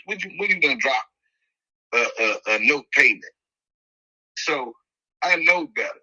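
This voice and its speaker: A man with a Washington, D.C. accent hosting a podcast, telling a personal story casually in animated, slangy talk, repeating phrases for emphasis. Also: mid-range voice, low-quality recording. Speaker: podcast host.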